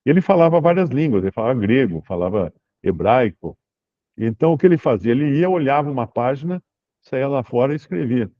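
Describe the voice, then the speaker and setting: Man teaching scripture, lo-fi. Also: mid-range voice. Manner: relaxed, explanatory, reads scripture aloud slowly. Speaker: Bible teacher answering questions. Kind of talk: informal Bible study Q&A.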